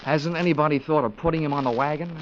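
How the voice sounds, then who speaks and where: An actor playing a wry, tough insurance investigator in old radio detective style, sardonic and clipped. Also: male voice, fairly high pitch, fast, slight background noise, lightly acted. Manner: sardonic, clipped hard-boiled delivery. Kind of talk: 1950s radio detective narration and dialogue. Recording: dull old radio recording, music behind.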